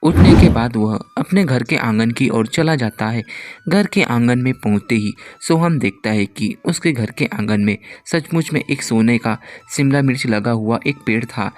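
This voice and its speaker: A man's voice: mid-range voice, moderate pace, a little mellow. A man narrating a scripted children's moral story.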